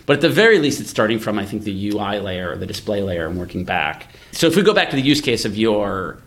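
Male podcast host, slightly deep voice. Conversational explainer who reasons out loud and asks rhetorical questions. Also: very animated, fast talker. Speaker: podcast host, venture investor. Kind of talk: casual conversational tech discussion.

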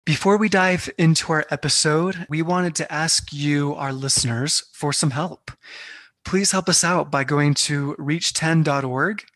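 Male podcast co-host, upbeat and polite, a touch high, dry close mic. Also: fairly bright tone, dry recording. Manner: upbeat, polite, reading announcements. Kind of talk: podcast intro and interview.

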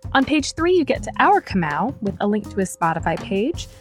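A woman's voice: mid-range voice, very animated, fairly quick. Woman hosting, music underneath. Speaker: interviewer and narrating host. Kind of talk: conversational interview and scripted documentary narration.

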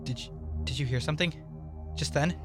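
A man's voice: fairly high pitch, a little fast, over loud music, natural voice. A man playing an edgy, territorial survivor in a radio drama, blunt and suspicious in his delivery. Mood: wary, tense. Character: edgy, territorial armed stranger, embittered survivor.

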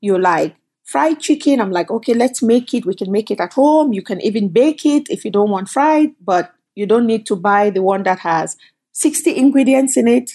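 A middle-aged woman from Cameroon, a company founder telling her story as a guest in an interview, lively and quick in bursts. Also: on the high side. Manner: animated, storytelling, quick bursts, laughs often. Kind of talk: conversational interview.

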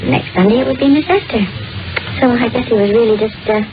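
A woman's voice: fairly high pitch, fast talker, natural voice. An actress playing a chatty, gossipy neighbor woman in a 1940s radio drama, talking in a folksy way. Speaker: Mrs. Graydon, a gossipy neighbor. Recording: dull old radio broadcast with music.

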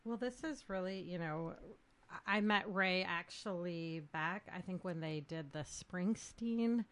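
A woman's voice: lowish voice, a little slow, fairly bright tone. A middle-aged woman from rural Minnesota, a radio guest who reminisces in a rambling way. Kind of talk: casual interview.

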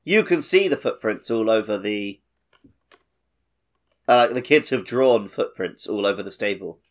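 A man's voice: fairly low pitch, lively intonation, moderate pace. A man co-hosting a podcast, casually discussing a video game. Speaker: podcast host.

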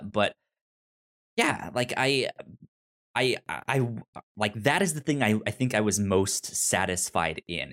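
A man with a stutter, talking casually and haltingly as he co-hosts a podcast discussion. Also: lowish voice, fairly bright tone. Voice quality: stutter, repeating sounds and syllables. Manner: halting, searching for words. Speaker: podcast co-host reviewing an audio drama.

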